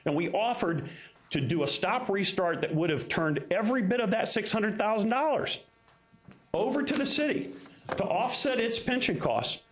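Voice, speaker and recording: A man's voice: high-pitched, expressive, moderate pace, a bit bright. A man giving firm, fluent, matter-of-fact explanations as a union representative at a public council meeting.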